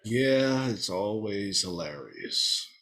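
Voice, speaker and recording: Man playing a slow, resigned police inspector. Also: lowish voice, sing-song, creaky, fairly bright tone, full character voice. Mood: resigned, hesitant. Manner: hesitant, resigned. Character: earnest, put-upon male police inspector.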